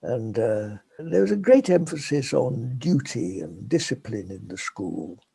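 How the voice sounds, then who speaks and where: An older Englishman, speaking in a gentle, unhurried way as an interview guest and laughing softly between thoughts. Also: mid-range voice, sing-song, vocal fry, slightly rough. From England. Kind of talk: reflective interview talk.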